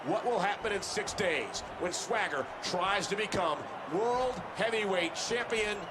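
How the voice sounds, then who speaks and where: A man performing as a dramatic, urgent play-by-play wrestling announcer in a video game, calling matches with excitement. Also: high voice, a little slow, background noise.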